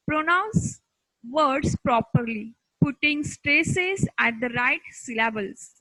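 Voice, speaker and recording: A woman with an Indian accent giving a scripted lesson as an instructor, speaking deliberately in short, halting chunks. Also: sits high, bright, forceful tone, dry recording, low-quality recording.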